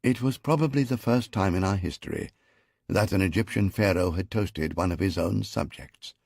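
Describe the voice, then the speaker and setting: A man's voice: low-pitched, normal speed, vocal fry, darkish tone, no echo. A man narrating a historical audiobook in the first person, with a dry, wry storytelling manner.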